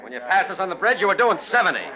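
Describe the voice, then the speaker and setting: Gruff, streetwise radio cop. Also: male voice, high-pitched, sing-song, very fast. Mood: angry. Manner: brusque, sardonic, slangy tough talk. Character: gruff, streetwise, suspicious male police officer. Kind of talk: 1940s radio acting. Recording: muffled, noisy old radio recording.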